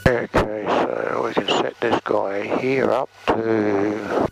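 A man's voice: fairly low pitch, steady tone, slow pace, vocal fry. A man, a flight instructor, explaining things and making radio calls from a small plane cockpit. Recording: noisy light aircraft cockpit. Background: engine noise, clicks, radio.

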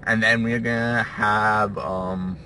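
A young man in his twenties with a bright, forward voice talks in a casual vlog style. He rambles haltingly, repeating himself and drifting into vague asides. It is recorded on a phone at arm's length with faint background noise. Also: lowish voice, steady tone. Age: 20s. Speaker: vlogger.

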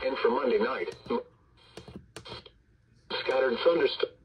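A man's voice: medium pitch, slow, muffled recording, background noise. An automated American male voice reading a scripted weather forecast in clipped phrases through a radio speaker. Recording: weather radio speaker with loud background babble.

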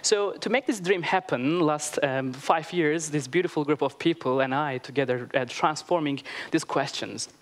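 Turkish-accented man, 30s, keen stage presenter, slightly high voice, headset mic. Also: moderate pace, few pauses. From Turkey. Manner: enthusiastic, many "like" fillers, loose non-native grammar. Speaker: media artist giving a talk. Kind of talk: conference presentation. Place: large theater stage.

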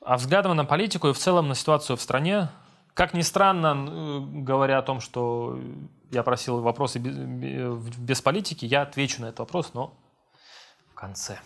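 A man's voice: medium pitch, a little fast, gravelly. Young man answering viewer questions. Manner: relaxed, self-deprecating, reads comments then answers. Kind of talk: casual Q&A vlog reading comments. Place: home room with monitors, indoors.

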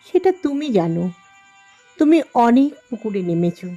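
Woman acting a high-voiced, critical, emphatic wife. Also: sing-song, normal speed, mellow, dull audio, faint music behind. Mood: wry. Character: Saraswati, observant, critical wife on a car journey. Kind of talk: audio drama acting.

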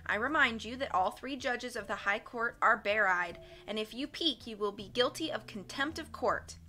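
A stern, measured judge presides over a courtroom, as a woman reading aloud puts her on. She talks formally and firmly, with a bright, clear edge to a fairly high voice. Faint music plays under the audiobook.

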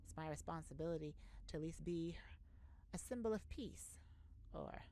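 Casting: woman as earnest female space diplomat for ASMR audio drama, slightly low voice, awkward halting delivery, noisy background. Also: warm tone, natural voice. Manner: halting, awkward, formal wording. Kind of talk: scripted ASMR sci-fi roleplay. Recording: noticeable background noise.

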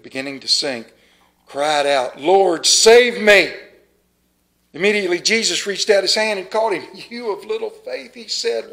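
Middle-aged male pastor, high voice, animated sermon delivery with pauses, small church sanctuary. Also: creaky. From United States. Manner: animated, moves about the pulpit, dramatic pauses. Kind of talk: sermon with scripture reading. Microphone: stand mic at pulpit, far camera.

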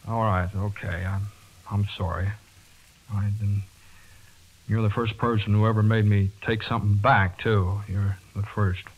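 A man playing a hot-headed, awkward young working man in a halting, folksy way in a 1940s radio drama. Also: on the low side, level tone, a little hiss or hum, close to an everyday voice. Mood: hesitant, tender. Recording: muffled 1940s radio broadcast.

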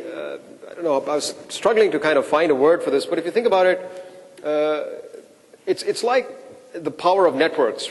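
Guy emceeing a conference, kinda high voice, lots of uh and repeats, echoey hall. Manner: casual, repeats words, many fillers. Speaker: event host introducing speakers.

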